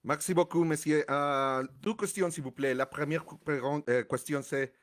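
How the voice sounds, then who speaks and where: A male sports reporter from Mexico, a native Spanish speaker, asking questions haltingly and apologizing as he searches for words. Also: a little high, fairly bright tone.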